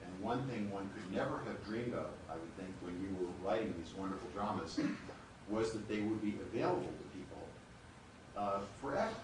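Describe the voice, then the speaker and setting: A man's voice: on the low side, animated, a little fast, far from the mic. A man hosting a live event, making informal remarks to an audience. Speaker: event host. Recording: echoey room, off-mic, noisy background.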